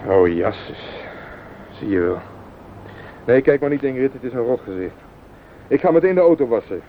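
An actor playing a rattled, evasive husband in a radio play, agitated and pleading. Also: male voice, expressive, moderate pace, lightly acted. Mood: panicky. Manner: agitated, pleading, self-justifying. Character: rattled, evasive husband hiding a car accident. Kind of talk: Dutch radio play acting. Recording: muffled phone-like old radio recording, rumbling hiss.

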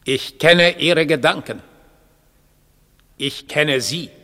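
An actor playing a menacing, commanding doppelgänger in a radio play, speaking deliberately and imperiously. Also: male voice, on the high side, bright, forceful tone. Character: menacing, commanding doppelgänger, a man who claims to read thoughts. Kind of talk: 1960 German radio play acting. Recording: echoey vintage radio studio.

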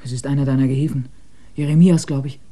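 An actor in a German radio drama playing a devoted member of a poor village family, earnestly explaining. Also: male voice, medium pitch, steady tone, quick pace, mellow, lightly acted.

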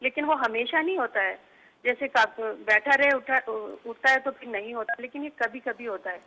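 A woman's voice: on the high side, normal speed, sharp tone. A woman calling in as a worried mother, rambling and repeating herself. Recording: dull phone line.

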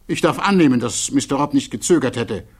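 An actor playing an earnest defense attorney in a German radio play, delivering a formal, measured plea with emphatic rhetoric and deliberate pauses. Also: male voice, mid-range voice, expressive, breathy edge. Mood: composed, firm. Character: defense attorney pleading earnestly before a security hearing.

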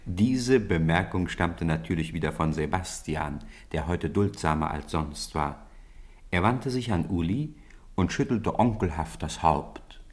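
Deep-voiced man narrating a radio story. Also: lively intonation, unhurried. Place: radio studio.

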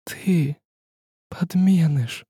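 A man's voice: on the high side, extremely slow, mellow, husky, no room sound, studio quality, pitched above the performer's own voice, heavily acted. A man voicing a bitter, half-mad, grieving tsaritsa in a storybook, hissing and shrieking. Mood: venomous, distraught.

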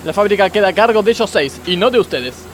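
A man's voice: high voice, animated, quick pace, slight background noise. A man playing a strict, preachy blind factory boss with an Argentine accent in a radio comedy sketch. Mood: stern, dismissive. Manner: stern, sanctimonious, curt.

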